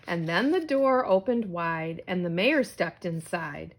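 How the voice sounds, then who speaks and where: A woman reading a rhyming children's story aloud in an animated, theatrical storytelling manner. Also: lowish voice, a little slow. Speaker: storybook reader.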